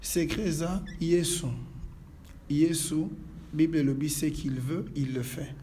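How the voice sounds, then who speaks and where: Mellow, measured Congolese-born pastor. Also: male voice, on the high side, a little hiss or hum. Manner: measured, preacherly. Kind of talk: calm interview answers and prayer. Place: inside a church.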